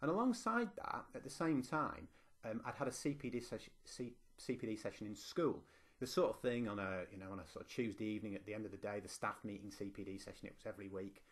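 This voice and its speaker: A man's voice: lowish voice, fast, husky. A middle-aged man from northwest England with a Lancashire accent, giving a conversational presentation to teachers, with frequent ums and restarts. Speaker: university lecturer and teacher. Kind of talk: online conference presentation.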